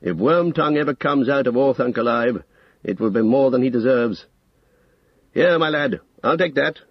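A man plays a grave old male wizard from a fantasy storybook, stern and full of authority. The voice is husky and weathered, at a medium pitch. It is recorded dry and a little dull. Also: moderate pace, no echo. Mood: gruff, measured.

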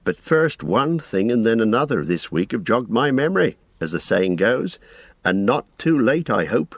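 Flustered old innkeeper, as a male reader puts him on; high, lively, rambling, dry sound. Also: dry recording. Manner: rambling, flustered, drawn-out phrasing. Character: fussy, forgetful, anxious old male innkeeper.

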